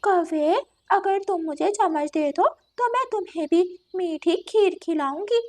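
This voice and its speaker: Woman voicing a sly, high-pitched female cat villain. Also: unhurried. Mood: wheedling. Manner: wheedling, punctuated with meows. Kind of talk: children's animated story dialogue.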